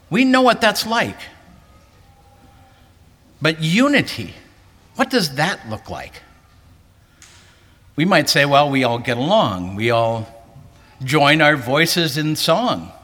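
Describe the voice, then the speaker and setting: A male pastor giving an earnest sermon to a convention, lifting his voice for emphasis. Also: pushed and bright. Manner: earnest, rising pitch for emphasis. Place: large hall, preaching to a convention. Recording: hall reverb.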